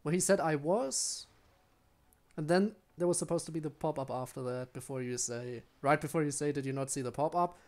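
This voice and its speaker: Chatty, higher-voiced man streaming a game. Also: fairly quick, a little mellow. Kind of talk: casual live commentary to viewers.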